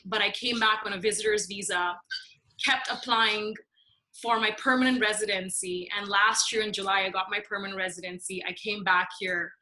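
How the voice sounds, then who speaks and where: A woman's voice: medium pitch, sharp tone, rough recording. A woman in her late twenties from India and Nigeria, telling her personal story as an interview guest. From born in India, raised in Nigeria. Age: late 20s. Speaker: podcast guest, mental health advocate.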